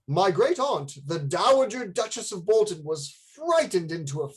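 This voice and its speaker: A grave lord in a radio drama. The voice is husky and widely inflected, with courteous, stately phrasing and a formal manner. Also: male voice, high-pitched, big pitch swings, moderate pace, heavily acted. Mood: solemn warning. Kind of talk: radio play acting.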